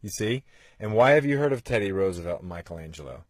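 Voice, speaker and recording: A man's voice: on the low side, lively intonation, fast talker, no room sound, studio quality. A man in his forties hosting a motivational podcast, urging listeners on with earnest rhetorical questions. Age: 40s. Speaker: podcast host.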